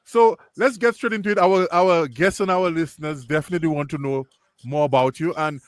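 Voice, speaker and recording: A man's voice: fairly high pitch, fairly even intonation, fairly quick, dry recording, clean studio sound. A man from Lucia, hosting an interview in an upbeat, chatty way and repeating his phrases. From Saint Lucia. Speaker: show host. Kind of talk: casual interview chat.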